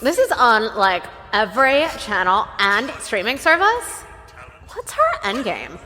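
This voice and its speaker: Lively, slangy young sidekick. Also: female voice, fairly high pitch, unhurried, faint music behind, heavily acted. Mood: puzzled. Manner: casual, youthful slang. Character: slangy, bubbly young female agent sidekick. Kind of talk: comedic spy audio drama. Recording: long reverberant room sound.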